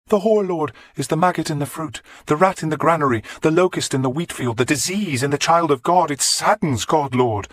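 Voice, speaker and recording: A man's voice: on the high side, fairly quick, few pauses, dry recording, clean studio sound, voice pushed up, close to an everyday voice. A man voicing a frenzied blind old monk who howls and chants in a raving fit. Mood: ranting. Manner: shrieking, howling zealot chant.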